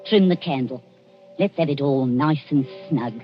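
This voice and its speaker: An actress playing a sly, bossy old matron of the underworld who wheedles in a 1940s radio drama. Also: female voice, rumbling low, normal speed. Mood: bossy, scheming. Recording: dull vintage 1940s radio recording, music.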